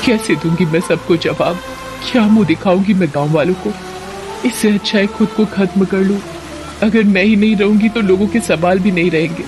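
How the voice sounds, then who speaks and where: A woman's voice: mid-range voice, normal speed, over music, natural voice. A woman playing a naive, good-hearted young village woman in a Hindi cartoon story, speaking simply and earnestly. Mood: despairing. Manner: simple, chatty, deferential, earnest. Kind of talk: Hindi animated story voice acting.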